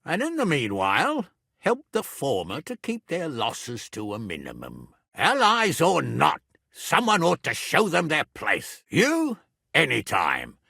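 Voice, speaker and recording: A gravelly, blustering medieval nobleman. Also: fairly high pitch, huge pitch swings, a little slow, bright, forceful tone, dry recording, clean studio sound, exaggerated voice. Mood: measured, gruff, then indignant, blustering. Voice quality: gravelly, rough. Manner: blunt, lordly, emphatic. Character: gruff, blustering, foul-mouthed medieval nobleman, hot-tempered but loyal. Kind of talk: dramatic video game voice acting.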